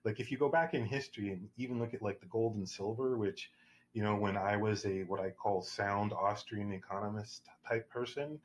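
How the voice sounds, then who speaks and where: A man's voice: fairly low pitch, steady tone, normal speed, a little hiss or hum. A man at a governance workshop, talking at length in a rambling way, with restarts and fillers. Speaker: workshop participant. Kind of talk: casual panel discussion.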